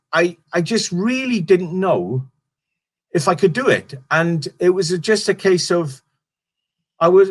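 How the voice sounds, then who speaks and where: Welsh man, rambling comedian. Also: high-pitched. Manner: rambling, restarts sentences, swears casually. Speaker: stand-up comedian guest. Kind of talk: casual interview chat.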